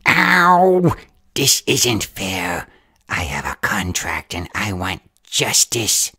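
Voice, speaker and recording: Raspy, whiny, greedy little gremlin. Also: expressive, slow, pushed and bright, no echo, clean studio sound, full character voice. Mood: pained, indignant. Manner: whining, indignant, shrill outbursts. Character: whiny greedy gremlin villain claiming ownership of someone. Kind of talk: audio roleplay drama acting.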